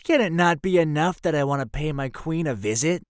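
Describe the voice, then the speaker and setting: A roguish male mercenary acted by a man for an audio drama, flippant and full of swagger. His delivery is highly animated and swoops around in pitch, recorded close and dry with no room sound. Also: a little fast, clear, resonant tone, no room sound, natural voice. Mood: smooth, businesslike. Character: roguish, cocky, theatrical male mercenary leader.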